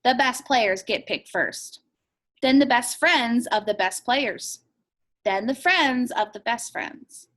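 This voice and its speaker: A woman's voice: a little high, takes it slowly, fairly bright tone. A woman teacher reading a picture book aloud expressively and asking young listeners questions.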